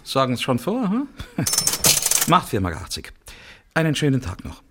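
An actor playing a grumbling, resentful small-town shopkeeper in a radio drama, with a rough, husky voice. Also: male voice, medium pitch, huge pitch swings, measured pace, heavy background noise. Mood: grumbling, businesslike.

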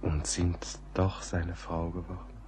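An actor playing a courteous, rational gentleman writer in a radio drama, speaking in a formal, earnest way. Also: low-pitched, completely flat delivery, takes it slowly, slight background noise. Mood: gentle, solemn. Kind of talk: German radio play acting.

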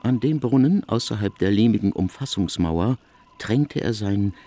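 An actor as a grave storyteller, narrating an ancient biblical tale in a measured, articulate way for a literary radio drama. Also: male voice, on the low side, expressive, mellow, natural voice.

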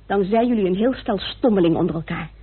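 An actress playing a sharp, insistent woman reasoning out a murder case in a vintage Dutch radio play, with a muffled recording. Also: mid-range voice, fast talker, husky. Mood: insistent, brisk.

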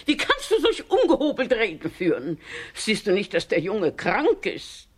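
A woman's voice: mid-range voice, huge pitch swings, normal speed, husky. An actress playing a worried, scolding motherly aunt in a German children's radio drama, fussy, emphatic and coaxing. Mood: scolding, pleading.

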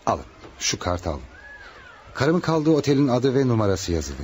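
A man's voice: mid-range voice, sing-song, moderate pace, a little mellow, natural voice. An actor in a radio drama playing a worried, secretive male patient, earnest and polite. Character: worried husband under a false name, secretive patient. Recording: slightly noisy radio drama recording.

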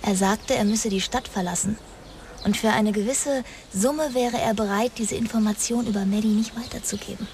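An actress playing a guarded daughter who haltingly confesses a family secret in a radio crime drama. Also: female voice, mid-range voice, fairly even intonation, a little mellow, a little hiss or hum, natural voice. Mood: guarded, confessing. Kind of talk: dramatic radio play acting.

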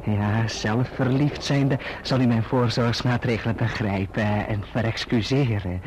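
An actor playing a falsely humble, scheming young clerk in a radio drama, wheedling and obsequious. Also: male voice, mid-range voice, fairly even intonation, a little slow, close to an everyday voice. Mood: servile, insinuating. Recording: dull, noisy old radio recording.

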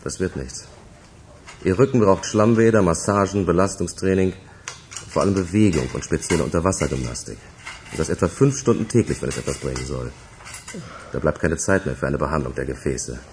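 A man's voice: low-pitched, a little fast, darkish tone, a little hiss or hum. An actor playing a stern, matter-of-fact male doctor in a radio crime drama, authoritative and clinical.

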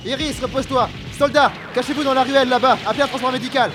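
A man's voice: extremely high pitch, steady tone, fast, music in the background, pitched above the performer's own voice. A man acting a curt, commanding rebel warrior captain barking urgent short orders in an audio drama.

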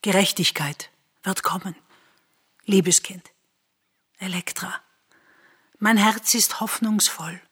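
An actress playing a devout older confidante in a classical radio tragedy, with a solemn and formal delivery. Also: female voice, medium pitch, steady tone, slow, long pauses, a bit bright, husky. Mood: solemn, hopeful.

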